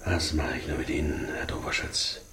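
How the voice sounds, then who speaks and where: An actor playing a menacing, grandiose East German secret police colonel in a radio drama, pompous and theatrical. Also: male voice, very deep voice, fairly even intonation, normal speed, background noise. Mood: scornful, brooding. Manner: pompous, theatrical, swinging from soft menace to outbursts.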